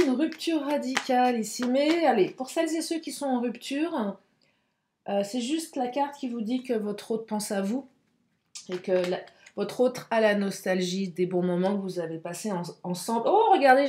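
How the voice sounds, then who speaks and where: A woman doing a tarot reading for her viewers, hoarse and speaking through a stuffed nose, halting often with fillers. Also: a little high, animated, darkish tone, reverberant, a little hiss or hum. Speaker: tarot card reader.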